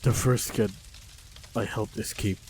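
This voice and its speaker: Measured, low-toned man in audio roleplay. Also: fairly even intonation, darkish tone, a little hiss or hum, rough recording. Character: calm, protective male monster hunter. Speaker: voice actor playing a monster hunter. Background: faint sound-effect ambience.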